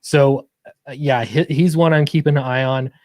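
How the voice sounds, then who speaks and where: A man's voice: mid-range voice, fairly even intonation, moderate pace, a little mellow, no echo, studio quality. A man from Utah chatting casually as a guest comic creator. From Utah, United States. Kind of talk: casual chat.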